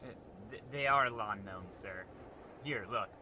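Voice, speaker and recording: A man's voice: lowish voice, measured pace, pushed and bright, a little hiss or hum. An actor playing a meek, deferential, stammering spaceman sidekick in a parody radio play. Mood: hesitant, deferential. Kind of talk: parody radio sci-fi drama.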